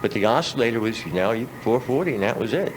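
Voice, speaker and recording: A man's voice: medium pitch, lively intonation, quick pace. A middle-aged man telling stories casually as a musician guest in a radio interview. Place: radio studio. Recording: noisy old radio aircheck.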